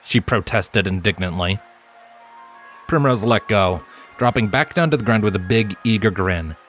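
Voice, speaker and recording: A man's voice: lowish voice, normal speed, a bit bright. A man reading scripted story narration as an audiobook narrator. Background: background music.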